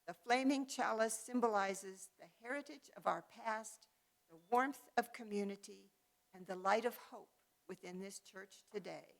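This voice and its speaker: A woman leading a worship service, reading and announcing in a measured, ceremonial way. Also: mid-range voice. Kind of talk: liturgical reading and announcements to a congregation.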